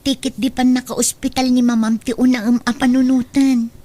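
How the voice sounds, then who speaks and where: Mellow, soothing young woman played by an actress. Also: high voice, little pitch movement, normal speed, close to an everyday voice. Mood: kind, deferential. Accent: Ilocano. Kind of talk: Ilocano radio drama acting.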